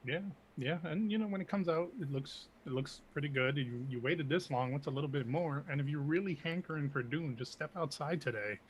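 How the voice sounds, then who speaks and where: Laid-back joking man on a quiet mic. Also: a little high, fairly quick, few pauses, rough recording. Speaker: associate producer. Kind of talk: casual chat. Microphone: quiet mic set too far away.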